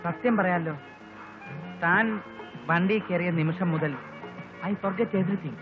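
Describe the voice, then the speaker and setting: A high-pitched comic man talks with theatrical, mock-grand flourish. He is a smooth braggart and wheedler from a film comedy, on a dull lo-fi soundtrack with music playing behind him. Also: takes it slowly. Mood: flirtatious, showing off. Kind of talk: Malayalam film comedy dialogue. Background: prominent background music.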